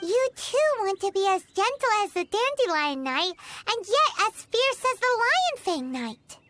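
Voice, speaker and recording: A woman's voice: very high voice, sing-song, measured pace, bright, forceful tone, slight background noise, exaggerated voice. A woman voicing a tiny, curious fairy companion who chirps and talks about herself in the third person. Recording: game audio with clear music.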